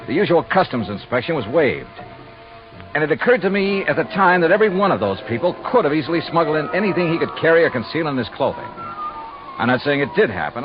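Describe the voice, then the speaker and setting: An actor playing a shrewd, hard-boiled insurance investigator in a 1950s radio drama, with a clipped, wry, insistent delivery. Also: male voice, on the high side, sing-song, brisk. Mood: wry, brisk. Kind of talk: 1950s radio detective narration and acting. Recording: muffled vintage radio recording, music behind.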